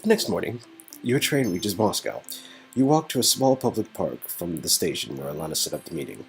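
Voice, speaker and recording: A man's voice: sits low, big pitch swings, fairly quick, faint music behind, low-quality recording. A man reading an interactive story game aloud as narrator, with playful, goofy asides. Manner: goofy, rambling ad-libs. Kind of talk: playful dramatic read-aloud with silly ad-libbed asides.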